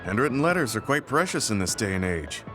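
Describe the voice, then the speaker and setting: A kind, weary veteran soldier for a video game. His voice sits on the low side and he speaks in measured, reflective phrases that carry long guilt. Prominent music plays behind him. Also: sing-song. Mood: earnest, kind. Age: middle-aged. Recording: studio voice under loud game music.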